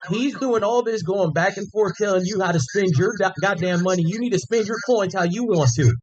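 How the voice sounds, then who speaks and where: A man's voice: sits high, fairly quick. A man on a phone call, brash and blunt, swearing as he cuts in. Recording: muffled phone-call audio.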